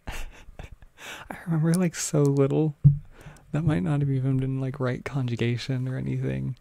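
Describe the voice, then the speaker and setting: A young adult with an American accent chats casually on a livestream, reading and answering comments in a soft-spoken, hesitant, rambling way. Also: medium pitch, flat delivery, a little slow, gravelly, no echo. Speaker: ASMR audio creator livestreaming.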